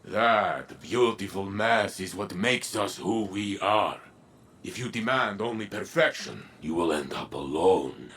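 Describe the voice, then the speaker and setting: A man voicing a proud, theatrical robot in a video game, speaking in a grand, deliberate, philosophical manner. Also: low-pitched, lively intonation, fairly bright tone, slight background noise, full character voice. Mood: grand, reflective.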